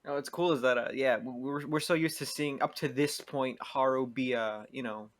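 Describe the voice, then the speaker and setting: A man chatting casually on a podcast panel, halting and repeating words as he goes. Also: mid-range voice. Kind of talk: casual chat.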